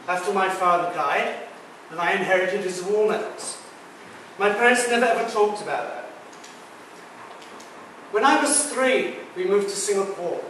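A man in his sixties gives a talk with a fairly high voice, recorded from across a very echoey room. He is chatty and jokes with the audience, and faint room noise sits underneath. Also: a bit bright, far from the mic. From Britain. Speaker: guest speaker. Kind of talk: public talk. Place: large echoey lecture room. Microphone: far camera mic.

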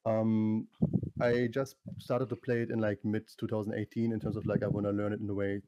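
A man, a guest on a voice call, answering in a relaxed, drowsy, unhurried way. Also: fairly low pitch, no room sound. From Germany. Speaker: guest streamer. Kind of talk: casual interview over voice call.

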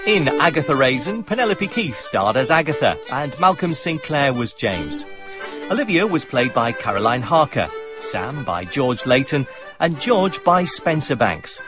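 A man announces radio drama credits in a scripted style. His pitch moves in lively swings over prominent violin music, with a slightly muffled sound. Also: unhurried. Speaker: continuity announcer.

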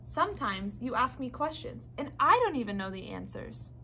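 A woman's voice: on the high side, very animated, moderate pace, bright, forceful tone. A young woman reading a children's story aloud. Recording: faint background noise in room.

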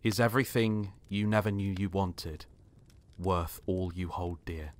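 Mysterious stranger, played by a male actor: low voice, earnest, insistent, ominously knowing, for a dramatic script reading. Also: unhurried. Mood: grave, knowing.

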